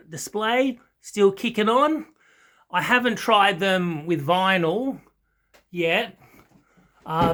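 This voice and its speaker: An Australian man gives an off-the-cuff hobbyist review in a notably high voice. His tone is bright and keen, and he rambles along with halting, enthusiastic phrasing. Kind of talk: casual unscripted product chat. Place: small carpet-and-wood home listening room with foam panels.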